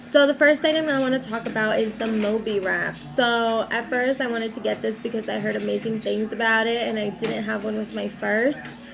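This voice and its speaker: A young mom talking straight to camera, her voice a bit raspy from a cold and edged with gravelly fry. She sits a little higher in pitch than most women and rambles in a friendly, unscripted way, full of "um" and "like". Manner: chatty, lots of "um" and "like". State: has a cold, slightly raspy. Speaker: mom vlogger. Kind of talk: casual chat to camera.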